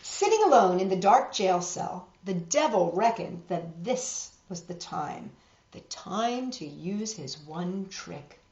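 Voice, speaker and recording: A woman reading a picture book aloud to children, expressive and dramatic, pausing for asides to show the pictures. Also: fairly low pitch. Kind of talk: animated children's story reading with asides to viewers. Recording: roomy, dull lo-fi web video.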